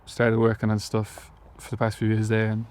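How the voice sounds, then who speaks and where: A young Irish man in an interview, his voice on the low side. He answers haltingly, piling up fillers and false starts as he thinks aloud. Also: monotone, darkish tone. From Ireland, Galway. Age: young adult. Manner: hesitant, many fillers and restarts. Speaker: musician guest.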